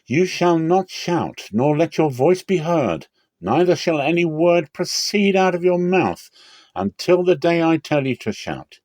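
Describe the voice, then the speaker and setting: A man playing a commanding military leader who rallies his people with loud, solemn declamation in a dramatic scripture reading. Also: fairly high pitch, sing-song, a little slow, no echo, pitched above the performer's own voice. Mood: stern, commanding.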